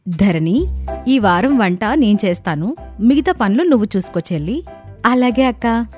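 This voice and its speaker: A woman's voice: on the high side, lively intonation, moderate pace, a little mellow, faint music behind, close to an everyday voice. A woman voicing a friendly, cheerful young village daughter-in-law in a story, affectionate and full of praise. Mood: cheerful, admiring.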